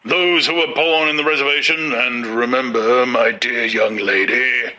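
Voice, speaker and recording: A male narrator playing a pompous older official who booms out facts and unasked-for advice. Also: steady tone, a little slow, sharp tone, poor audio. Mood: leering. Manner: booming, lecturing, self-satisfied.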